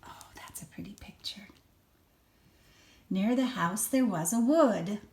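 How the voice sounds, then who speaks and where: Slow-paced grandmother reading a storybook. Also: mid-range voice, very noisy. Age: older. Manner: gentle explaining to a small child, murmured asides. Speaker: grandmother reading a picture book aloud. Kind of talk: children's story read-aloud with chatty asides.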